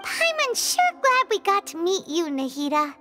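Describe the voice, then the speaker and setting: A woman voicing a tiny, excitable, curious fairy companion in an anime-style video game, dramatic and exclamatory. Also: very high-pitched, moderate pace, music in the background. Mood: glad. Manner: speaks of herself in third person, exclamatory.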